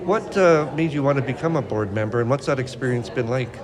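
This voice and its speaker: A man's voice: medium pitch, a little fast. A man interviewing guests, asking friendly questions with brief upbeat replies. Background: crowd chatter.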